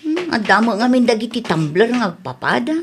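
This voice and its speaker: A woman's voice: fairly high pitch, big pitch swings, brisk, slight background noise. A Philippine-accented woman playing a defensive, evasive married woman accused of petty theft in a comedy radio drama.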